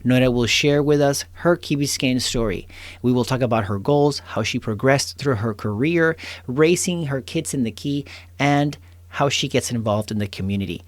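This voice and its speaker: A man's voice: medium pitch, normal speed, no room sound. A man hosting a local podcast, reading an upbeat intro and asking questions. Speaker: podcast host.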